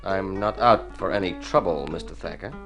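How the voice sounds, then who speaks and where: An old radio play with faint music, where a young drifter. The voice is low, and he answers in terse, guarded, stubborn fashion. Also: normal speed, vocal fry. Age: young adult. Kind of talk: radio drama acting.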